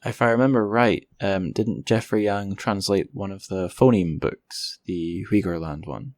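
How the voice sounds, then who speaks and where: A man's voice: sits low, steady tone, a little slow, darkish tone, no room sound. A man hosting a podcast interview in a casual, informal, slightly rambling and self-deprecating way. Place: indoors by a window.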